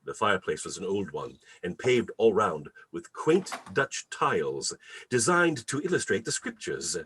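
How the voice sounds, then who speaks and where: A man's voice: fairly low pitch, sing-song, unhurried, fairly bright tone, slight background noise. A man narrating a classic story dramatically, with theatrical, emphatic and varied phrasing.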